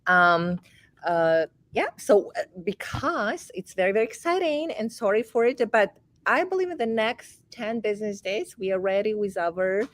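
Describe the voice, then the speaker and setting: A woman from Ukraine with a Ukrainian accent, a dev team lead chatting on a video call, animated and quick to laugh. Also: mid-range voice, no room sound. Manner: animated, laughs often, apologetic. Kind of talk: casual video call chat.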